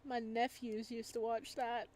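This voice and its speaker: Slow-paced woman with a higher voice. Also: little pitch movement, darkish tone. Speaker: gaming host reading a visual novel aloud. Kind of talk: casual playthrough chat and read-aloud narration.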